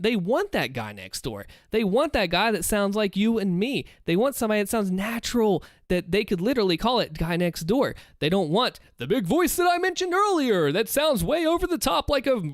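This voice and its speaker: A man's voice: sits high, no echo, studio quality. A man with a Southern US accent, chatting casually on a livestream, thinking aloud and encouraging viewers. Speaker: streamer. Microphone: close desk mic with headphones.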